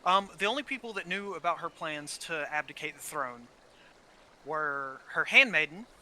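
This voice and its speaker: A man with a fairly high, very bright and sharp voice talks casually over a game. He is opinionated and sweary, often stumbling into repeated words as he argues. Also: expressive, moderate pace, slight background noise. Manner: frequent swearing, heated and opinionated. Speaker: gaming channel co-host. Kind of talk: casual gameplay chat about story.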